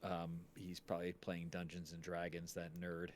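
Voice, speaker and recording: A man's voice: low-pitched, completely flat delivery, normal speed. A man hosting a stream in casual chat, talking in a rambling, jokey way. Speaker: stream host.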